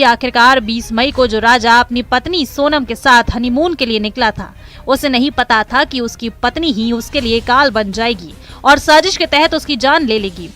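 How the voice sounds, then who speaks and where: High, bright woman narrating crime news. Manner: dramatic, emphatic. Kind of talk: dramatic scripted crime report narration.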